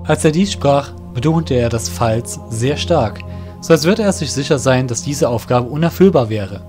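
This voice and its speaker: A man's voice: mid-range voice, expressive, moderate pace, darkish tone, soft background music, lightly acted. A man reading scripted narration as the storyteller of an audio drama.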